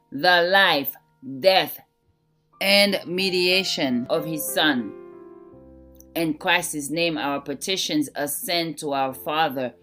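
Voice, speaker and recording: Slow-reading woman over soft guitar music. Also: on the low side. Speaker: devotional reader. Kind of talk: scripted devotional reading. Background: gentle plucked guitar music.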